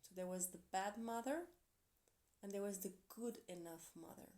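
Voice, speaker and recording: A woman with a Portuguese accent, English not her first language, reads tarot in an earnest, encouraging way. Her pitch sits in the ordinary middle for a woman, and she drops into soft, quiet asides now and then. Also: moderate pace. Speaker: tarot reader. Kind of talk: tarot reading for a zodiac sign.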